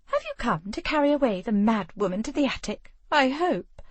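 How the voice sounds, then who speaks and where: A woman's voice: on the high side, big pitch swings, normal speed, husky, dry recording, pitched above the performer's own voice. A woman voicing a disdainful young noblewoman who speaks with cool mockery in an audiobook. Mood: mocking. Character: haughty, mocking young noble lady.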